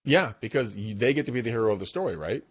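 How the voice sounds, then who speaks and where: A man in his forties hosting a podcast from his home office, talking with a guest over a video call and telling stories in an animated, talkative way. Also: medium pitch, quick pace, husky, dull audio. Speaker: podcast host. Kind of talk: casual video-call interview. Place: home dining room office. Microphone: boom mic on arm.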